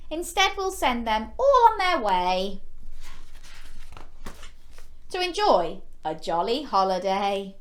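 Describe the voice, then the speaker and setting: A woman hosting a children's story time, reading a picture book expressively with exclamations and playful questions to young listeners. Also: mid-range voice. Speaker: children's storyteller and show host.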